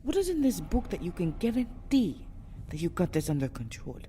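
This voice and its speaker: A woman playing a proud, distraught, centuries-old vampire girl in an ASMR roleplay, theatrical, moving between breathy whispers and agitated speech. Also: on the low side, very animated, moderate pace, mellow, slight background noise. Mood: alarmed, distraught. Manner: theatrical, often dropping into breathy whispers, swelling into agitated outbursts. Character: anguished two-hundred-year-old vampire girl, proud, fiercely protective, distraught. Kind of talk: ASMR roleplay monologue, melodramatic acting.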